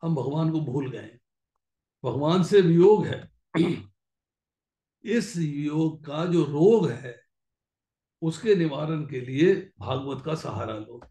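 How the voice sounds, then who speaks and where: An older man delivering a devotional talk over a video call, telling stories, clearing his throat now and then and slipping in English phrases. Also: on the high side, animated, slow, long pauses, dark tone, echoey room. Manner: storytelling teacher, mixing in English phrases, clears throat often. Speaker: spiritual lecturer.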